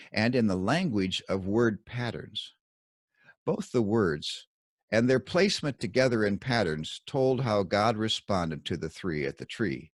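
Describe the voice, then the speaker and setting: A man with a fairly low voice narrates scripted religious commentary in the manner of an audiobook. His pitch swings widely as he stresses key words, and his phrasing is deliberate and dramatic, with pointed pauses. The sound is close and dry. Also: no room sound. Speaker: author reading his own commentary.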